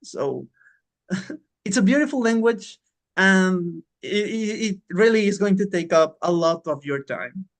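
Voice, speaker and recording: A man's voice: sits high, animated, measured pace. Encouraging male English teacher. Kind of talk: informal online language lesson. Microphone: online class call.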